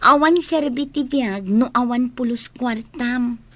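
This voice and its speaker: A woman's voice: a little high, a little slow, a bit bright. An actress playing a sassy, teasing young female student with an Ilocano accent in a comedy radio drama. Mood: brash, scoffing.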